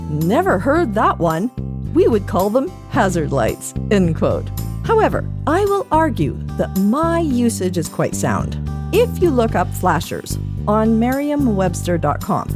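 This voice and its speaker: A Canadian woman presenting a scripted podcast segment with a wry, playfully formal delivery. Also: a little high. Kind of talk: scripted newsletter reading.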